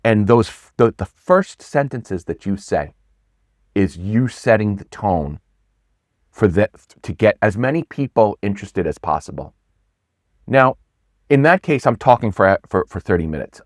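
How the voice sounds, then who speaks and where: A middle-aged man, a pitch coach, talking casually in an interview, animated, often restarting and repeating words. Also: no room sound, clean studio sound. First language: not Swedish; English speaker.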